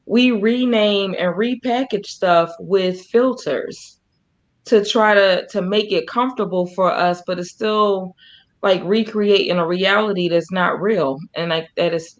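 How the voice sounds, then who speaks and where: A woman co-hosting a casual livestream, speaking conversationally and chiming in with short agreement. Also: medium pitch. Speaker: co-host. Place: remote video call.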